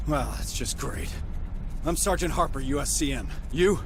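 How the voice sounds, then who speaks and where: A man's voice: normal speed, natural voice. A man playing a blunt, sardonic, commanding marine sergeant in a sci-fi video game. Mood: wry, tense. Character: gruff, commanding male marine sergeant, battle-weary. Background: loud dramatic music, vehicle rumble.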